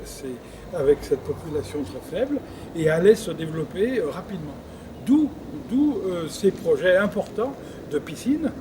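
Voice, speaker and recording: A man giving reflective interview testimony as a local official, halting and often repeating words as he searches for the next one. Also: fairly high pitch. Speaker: former president of an intercommunal council, interviewed. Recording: clearly noisy background ambience.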